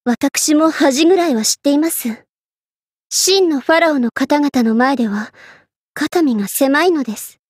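Haughty young Egyptian queen for an anime game: extremely high voice, theatrical commands, flustered pride, dry studio sound. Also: measured pace, a little mellow, no room sound. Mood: downcast. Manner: imperious, theatrical, tsundere. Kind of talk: Japanese anime game character voice acting.

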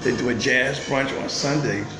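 A man's voice: mid-range voice, moderate pace. An older man, chatting casually and upbeat into his phone while filming a vlog in a small restaurant. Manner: chatty, upbeat, plain-spoken. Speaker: vlogger. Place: small restaurant indoors, then street. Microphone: phone camera held at arm's length. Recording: roomy restaurant with music behind.

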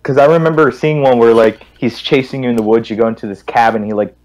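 A young man chatting casually and telling stories in a halting, repetitive way. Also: mid-range voice, fairly even intonation, darkish tone, low-quality recording. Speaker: guest co-host on a gaming stream. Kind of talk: casual group chat, rambling storytelling.

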